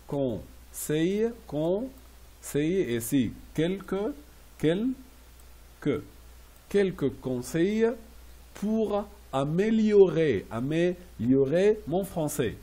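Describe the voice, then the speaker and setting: A man teaching French classroom phrases, repeating each slowly and translating it into English. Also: fairly high pitch, lively intonation, mellow. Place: Riyadh.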